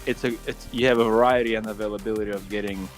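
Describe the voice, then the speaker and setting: A man from Ukraine in his thirties speaks in a fairly low voice. He chats casually about video games, halting as he goes, with music playing in the background. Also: fairly even intonation. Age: 30s.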